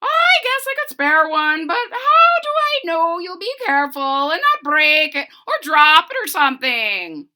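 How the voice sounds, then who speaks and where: Lady doing a giant bossy mama bird, squeaky high and snappy, super bright and sharp. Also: very animated, a little slow, few pauses, heavily acted. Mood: scolding. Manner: squawky, suspicious, scolding. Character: giant bossy mother bird guarding eggs. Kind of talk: read-aloud storybook voice.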